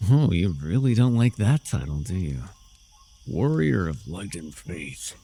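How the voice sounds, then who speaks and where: Smug gravelly young villain played by a man. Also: lowish voice, a little mellow, heavily acted. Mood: taunting, menacing. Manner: theatrical, teasing, drawling with dry mockery. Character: smug, sardonic young dark sorcerer villain, teasing and urbane. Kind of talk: ASMR fantasy roleplay voice acting.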